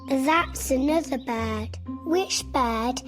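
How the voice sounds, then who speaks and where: A woman's voice: high-pitched, extremely slow. A woman playing a cheerful, trusting little girl in a children's fairy tale, speaking in a friendly, simple way to young listeners. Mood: cheerful teaching. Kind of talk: children's storybook animation. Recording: music behind the voice.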